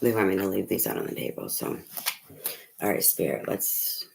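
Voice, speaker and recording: A tarot reader losing their voice, talking softly in a rambling, casual way full of fillers and the odd swear. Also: low voice, animated, moderate pace, creaky, a little hiss or hum. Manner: speaking softly. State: losing voice, hoarse. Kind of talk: casual tarot reading to camera.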